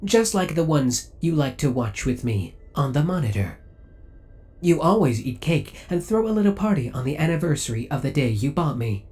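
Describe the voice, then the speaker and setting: A man's voice: fairly high pitch, big pitch swings, normal speed, a little mellow. A man playing a possessive, coolly obsessive male spaceship AI in an ASMR audio roleplay, speaking with formal, precise, robotic politeness. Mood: formal, disapproving.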